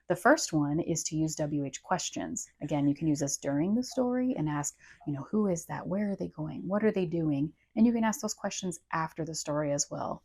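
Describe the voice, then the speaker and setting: A woman's voice: sits low, creaky, warm tone. A woman speaking informally and instructively as a host, explaining tips to parents in an encouraging way. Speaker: speech-language pathologist and channel co-host.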